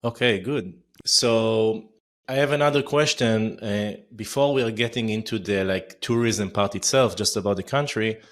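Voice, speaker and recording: A man hosting a travel podcast who asks measured questions with occasional fillers. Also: on the low side. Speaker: podcast host, interviewer. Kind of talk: interview questions.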